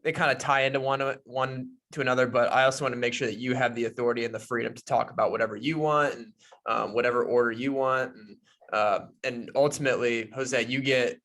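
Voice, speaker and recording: A man's voice: mid-range voice, fairly even intonation, brisk, fairly bright tone. A man co-hosting a podcast, chatting informally and accommodatingly as he talks with a guest. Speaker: podcast co-host. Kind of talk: casual pre-interview chat.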